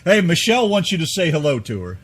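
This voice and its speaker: An older man from Ohio, a former trucker, co-hosting with relaxed, folksy casual chat. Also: on the high side, fast, a crowd in the background. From Ohio, USA.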